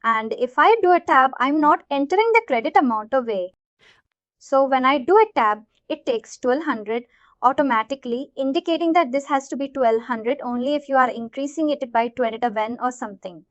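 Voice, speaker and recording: A woman with an Indian accent giving an informal live software tutorial, explaining things repetitively and checking that listeners follow. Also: high-pitched, moderate pace, no room sound, muffled recording. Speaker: software instructor.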